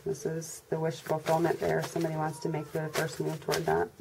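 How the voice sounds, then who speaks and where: Low, gravelly congested woman reading tarot. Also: a little fast. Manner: monotone, low-energy delivery. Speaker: tarot reader. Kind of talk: casual tarot reading for viewers. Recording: noisy recording with birds chirping. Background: birds and background noise.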